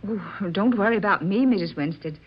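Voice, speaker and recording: A woman's voice: medium pitch, lively intonation, fairly quick, husky. An actress playing a polite, earnest, nervous woman at a séance in a radio drama, speaking hesitantly. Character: polite, earnest, nervous open-minded female séance guest. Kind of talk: old-time radio drama acting. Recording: dull lo-fi radio recording, music behind.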